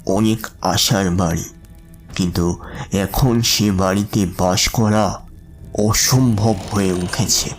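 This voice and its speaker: An actor playing a troubled, humble middle-aged businessman, speaking slowly and deferentially in an audio drama. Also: low-pitched, fairly even intonation. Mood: troubled, recounting. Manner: slow, deferential, halting delivery. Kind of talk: dramatised audio story acting. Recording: music under the voice.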